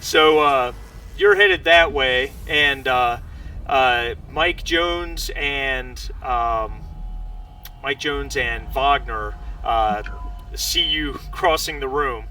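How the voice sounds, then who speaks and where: A man's voice: mid-range voice, drawn-out words, pushed and bright. A man narrating a tabletop game as game master, improvising and searching for his words as he goes. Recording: slightly distant mic with faint background noise.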